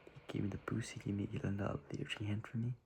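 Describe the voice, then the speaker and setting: A man's voice: lowish voice, flat delivery, fast talker, gravelly, a little mellow, slight background noise. A young man giving earnest, rambling advice in a whispery voice, circling back and repeating himself.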